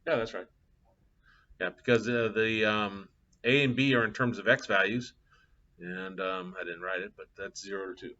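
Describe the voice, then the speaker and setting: Middle-aged man, math instructor, relaxed rambling lecture, muffled lo-fi mic. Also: on the low side, moderate pace. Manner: casual, chatty, thinking aloud with fillers. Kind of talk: live class lecture with anecdotes. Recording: dull lo-fi classroom capture.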